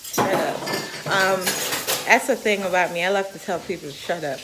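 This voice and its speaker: A woman's voice: fairly low pitch, moderate pace, very noisy. A woman with a Jamaican accent chatting to her viewers as a mom vlogger, teasing and sassy as she tells her stories. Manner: sassy, teasing, animated storyteller. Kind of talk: casual chatty vlog.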